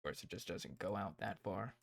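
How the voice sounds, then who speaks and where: A man streaming casually, rambling and thinking aloud as he plans, his voice tired and croaky. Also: sits low, normal speed, no echo. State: hoarse, tired voice. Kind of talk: casual livestream chat.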